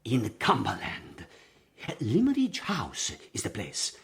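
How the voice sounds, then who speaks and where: A man's voice: mid-range voice, wildly swooping pitch, slow, creaky, exaggerated voice. A man playing an effusive, theatrical Italian professor with an Italian accent and flowery speech in a period audio drama. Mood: effusive, grateful.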